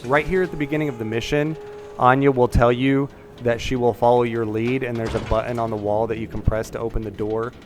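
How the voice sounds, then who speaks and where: A man's voice: mid-range voice, fairly even intonation, brisk, a little hiss or hum. A man narrating a video game walkthrough in a casual, explanatory way, carefully cautioning as he goes.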